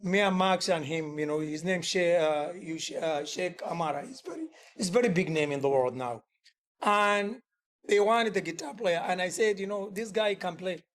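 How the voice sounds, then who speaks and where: High-voiced man talking shop as a musician. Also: moderate pace. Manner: earnest, rambling, loose grammar with many restarts. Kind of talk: casual workshop talk.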